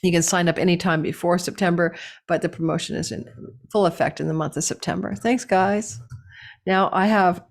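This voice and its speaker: A woman with a hoarse, slightly low voice reads horoscopes in a loose, chatty way. She wanders off on tangents and laughs at her own asides, recorded indoors at a house. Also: fairly even intonation, brisk. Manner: chatty, digressive, laughs at herself. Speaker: astrologer host. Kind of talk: casual horoscope reading.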